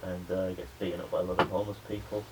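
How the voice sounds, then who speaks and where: A young adult man, a media student giving a spoken pitch presentation to a class. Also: little pitch movement, rapid-fire. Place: indoor room with a small audience. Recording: noisy room recording.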